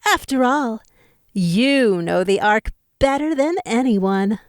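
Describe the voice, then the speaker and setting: A woman's voice: on the high side, lively intonation, slow, no room sound, studio quality. A woman playing a self-assured, flirty female bat spy with poised delivery in a fan audio drama. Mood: confident.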